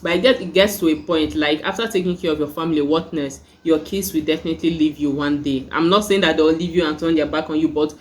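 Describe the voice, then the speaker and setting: A woman's voice: low voice, steady tone, quick pace, hardly any pauses, slight background noise. A young Nigerian woman with a Nigerian accent, chatting casually in a review vlog, full of "like" and "you know" fillers. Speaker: YouTube reviewer.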